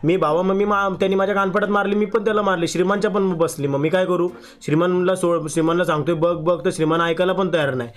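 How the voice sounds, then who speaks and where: A man's voice: sits high, steady tone, quick pace, hardly any pauses. A young man making a prank call in casual street slang, telling his story in an agitated, complaining way. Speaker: prank caller on a live stream.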